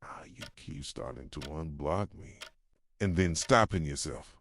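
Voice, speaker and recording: A man playing an obsessive, hurt, manipulative boyfriend in an intimate ASMR roleplay, speaking softly and insistently close to the microphone. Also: measured pace, dry recording, exaggerated voice. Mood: wounded, accusing. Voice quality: soft, low, breathy at times. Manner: intimate, insistent, pleading and accusing. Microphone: close microphone, dry sound.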